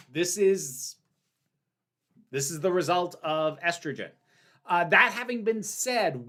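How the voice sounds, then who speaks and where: A woman's voice: deep voice, fairly bright tone. A woman hosting a casual livestream, reading out chat questions and answering candidly, with frequent ums and restarts. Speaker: livestream host.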